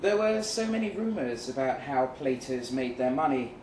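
A man giving a measured, dramatic live reading of literary first-person fiction. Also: medium pitch, big pitch swings, darkish tone. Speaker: story reader. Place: pub event room, live reading. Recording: roomy, distant live venue recording, faint noise.

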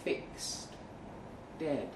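A man reading a first-person short story aloud dramatically, with measured delivery and deliberate pauses. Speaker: short story reader at a live event. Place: event room in a pub. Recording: roomy, distant mic with faint noise.

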